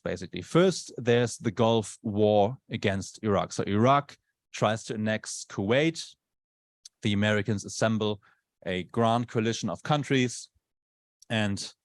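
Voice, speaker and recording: A man's voice: fairly low pitch, a little mellow, dry recording. A male university lecturer who is not a native English speaker, giving a conversational lecture and often checking in with tag questions. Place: lecture room.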